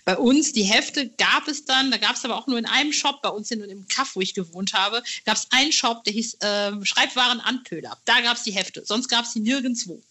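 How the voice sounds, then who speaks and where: A woman's voice: fairly high pitch, moderate pace, few pauses, bright, forceful tone. A middle-aged woman from East Westphalia in Germany hosting a casual interview in a gushing, colloquial, exclamatory way. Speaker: podcast host.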